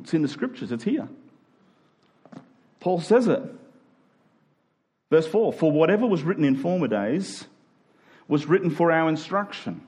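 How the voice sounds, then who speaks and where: A male preacher with a fairly high voice delivers a sermon to his congregation. He speaks emphatically, posing rhetorical questions and repeating key phrases to drive them home.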